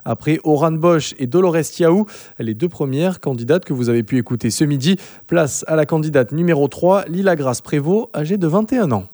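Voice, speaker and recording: Mellow-voiced man reading radio news. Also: fairly high pitch, no room sound, clean studio sound. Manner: measured, with occasional fillers. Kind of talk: read news bulletin.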